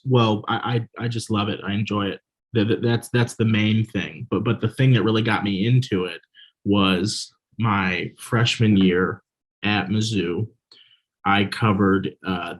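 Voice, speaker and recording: A man's voice: lowish voice, rough recording. A young man, a voice student on a panel, speaking enthusiastically and stammering. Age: young adult. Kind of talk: panel discussion.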